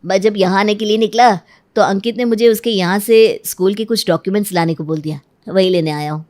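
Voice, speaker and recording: A woman's voice: mid-range voice, steady tone, brisk, a little mellow, close to an everyday voice. A woman voicing a friendly young man, a family friend in a cartoon story, polite and persuasive. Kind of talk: Hindi cartoon story acting.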